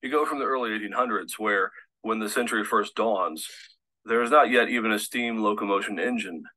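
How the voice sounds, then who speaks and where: A man's voice: on the low side, fairly quick, sharp tone, poor audio. A man giving a fluent, polished recorded history lecture.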